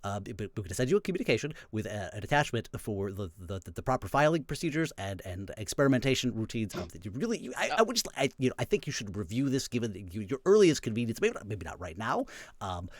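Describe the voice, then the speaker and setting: A man's voice: lowish voice, big pitch swings, quick pace, no room sound, clean studio sound. A man playing a fussy, fretful corporal who stammers hesitantly and officiously about proper procedure in an improvised game.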